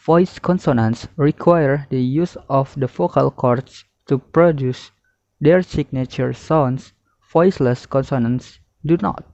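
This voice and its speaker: A young man works methodically through a student presentation at a slow pace. His voice sits at a middling pitch, in a dry, slightly dull recording. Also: darkish tone, dry recording. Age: young adult. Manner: methodical, listing term then definition. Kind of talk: read-aloud lesson presentation.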